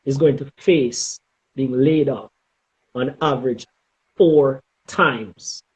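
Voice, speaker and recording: A middle-aged man with a Jamaican accent giving advice in an interview, speaking emphatically and deliberately and often checking in with "okay?". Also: mid-range voice, darkish tone, rough recording. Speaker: interview guest, former air traffic controller.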